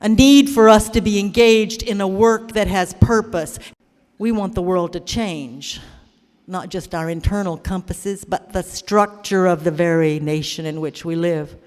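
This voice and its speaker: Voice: mid-range voice, a little slow, a little mellow. Impassioned poem-style speech, declaiming, long echo of a hall. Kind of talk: spoken-word recitation.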